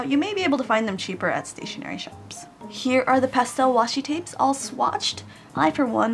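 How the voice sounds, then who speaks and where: A woman's voice: fairly high pitch, gravelly, a bit bright, a little hiss or hum. A woman getting over a cold, chatting casually to viewers as she unboxes a craft haul at home. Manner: chatty, addresses viewers directly. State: recovering from a cold. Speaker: craft vlogger. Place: home room with air conditioning, in Japan.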